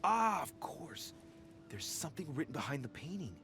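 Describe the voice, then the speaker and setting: An actor playing an inquisitive young man investigating a mystery in a video game, musing aloud in a deliberate, theatrical way. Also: fairly high pitch, sing-song, a bit bright, faint music behind. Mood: intrigued. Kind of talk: dramatic game narration, thinking aloud.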